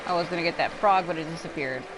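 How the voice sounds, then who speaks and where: A woman's voice: low voice, quick pace, very noisy. A woman livestreaming a video game, rambling and thinking aloud in casual commentary. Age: adult. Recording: game music behind the voice. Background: video game music.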